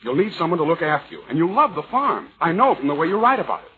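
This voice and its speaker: A man's voice: a little high, very animated, fast talker, crowd noise. A man playing an earnest, persistent, slightly stuffy suitor in a 1940s radio comedy. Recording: dull old radio recording.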